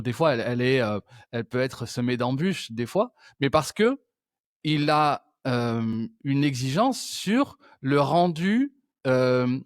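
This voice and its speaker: A man's voice: a little high, no echo, clean studio sound. A man hosting a conversational interview, thinking aloud and circling back with frequent restarts. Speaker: podcast host.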